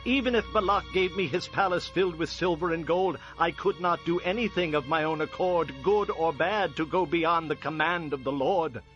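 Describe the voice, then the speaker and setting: An actor playing a solemn, resolute prophet who declaims oracles in a dramatized audio scripture. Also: male voice, fairly high pitch, fairly bright tone, close to an everyday voice. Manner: declamatory, measured poetic delivery. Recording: dull lo-fi audio with music. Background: music.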